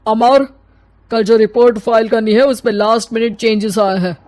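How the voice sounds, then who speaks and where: A woman's voice: on the high side, fairly even intonation, moderate pace, darkish tone, no room sound, close to an everyday voice. A domineering male boss from a cartoon tale, acted by a woman. The delivery is commanding and offhand, with no interest in anyone's excuses. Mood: bossy, dismissive. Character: overbearing, dismissive male office boss. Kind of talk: cartoon story acting.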